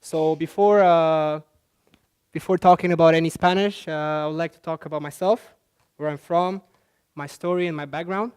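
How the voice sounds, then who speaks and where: Young man with a smooth, clear voice. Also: on the high side, steady tone, normal speed, a little mellow. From Central Asia. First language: Russian. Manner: chatty, loose, many fillers and restarts. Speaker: conference speaker. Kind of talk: presentation talk.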